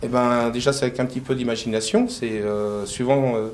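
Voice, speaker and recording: A man's voice: medium pitch, fairly even intonation, fairly quick, darkish tone. A man from France, a painter and decorator, answering interview questions hesitantly with many fillers.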